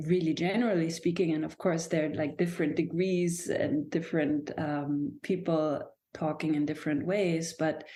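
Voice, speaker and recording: A woman's voice: lowish voice, warm tone. A German woman speaking English as a second language, reflective and hesitant, often restarting as she searches for words. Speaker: guest, dance and movement teacher. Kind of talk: reflective podcast conversation.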